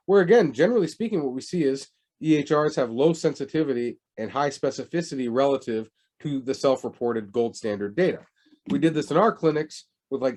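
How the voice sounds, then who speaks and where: A man lecturing on public health in a lively, conversational way, often asking rhetorical questions. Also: medium pitch. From United States.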